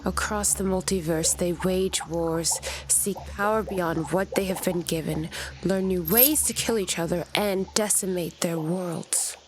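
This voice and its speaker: An actress playing an embittered, cold Titan woman in a fantasy audio drama, solemn and breathy, resolute in her cause. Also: lowish voice, a little slow, close to an everyday voice. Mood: bitter, disdainful. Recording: clear noisy ambience behind the voice.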